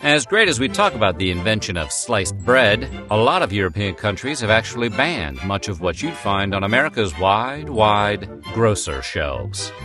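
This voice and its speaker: A male narrator reads a playful script over clear background music. His pitch swings in a lively way, and he drops in wry, joking asides. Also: moderate pace, hardly any pauses. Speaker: narrator of a food history video. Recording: music bed behind the voice.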